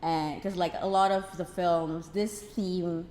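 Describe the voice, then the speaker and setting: A middle-aged woman talks in an interview with a very low voice and an unhurried, very slow pace. She is reflective and measured, recorded in a reverberant hall with faint noise. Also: little pitch movement, a little mellow. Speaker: stage play cast member. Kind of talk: casual cast interview.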